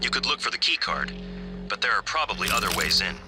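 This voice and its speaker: Game AI mentor: very low register, measured, knowing, calmly encouraging, music underneath. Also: male voice. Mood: measured, curious. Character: calm, knowing artificial helper guiding by radio. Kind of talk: video game voice acting.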